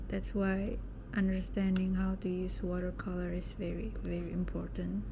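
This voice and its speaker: Slow, flat-voiced Indonesian woman vlogger. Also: on the low side, background noise. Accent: Indonesian, with some British English. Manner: chatty, rambling, self-deprecating, sometimes drops to a whisper. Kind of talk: casual unboxing chat. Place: home at night.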